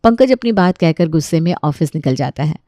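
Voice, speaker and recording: A woman's voice: low-pitched, expressive, brisk, mellow, no echo, clean studio sound. A woman reading scripted narration for a children's story.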